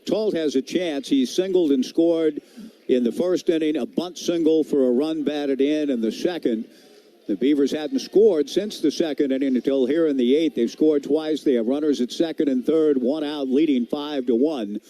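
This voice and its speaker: A man works as a baseball play-by-play voice on live radio. His tone is very soft-edged and warm, set a little higher than average, and he describes the action in clipped phrases. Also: moderate pace. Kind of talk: live radio sports commentary.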